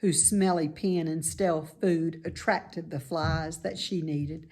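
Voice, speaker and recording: A woman reading a children's novel aloud as scripted narration, in an expressive, deliberate way. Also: sits low, slight background noise. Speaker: storybook reader.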